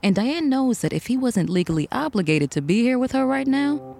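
A woman's voice: on the high side, very animated, fairly quick, creaky, darkish tone, a little hiss or hum. A woman reading scripted, dramatic story narration for a fiction podcast.

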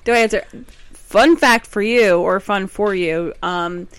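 A woman's voice: mid-range voice, a little slow, clean tone, no echo. A woman co-hosting a podcast in casual chat, joking and blunt, swearing casually. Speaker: podcast co-host.

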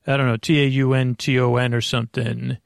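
An American man hosting a sleep podcast, talking in a meandering, hesitant, reassuring monologue with a gravelly voice. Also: medium pitch, flat delivery, brisk, dry recording, studio quality. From United States. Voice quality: creaky, gravelly. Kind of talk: rambling casual monologue.

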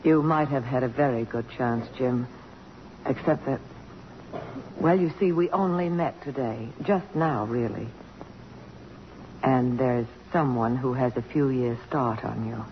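An actress playing a brisk, proud middle-aged congresswoman with a romantic streak, in 1950s radio theater style. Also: very deep voice. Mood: tender, moved. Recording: muffled, hissy old radio broadcast.

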